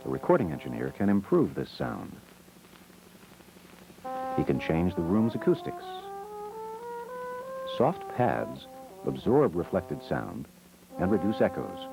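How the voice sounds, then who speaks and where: Deep, creaky-voiced male educational narrator. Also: big pitch swings, poor audio. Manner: measured, short simple explanatory sentences. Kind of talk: scripted educational film narration. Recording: old VHS educational film soundtrack. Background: music.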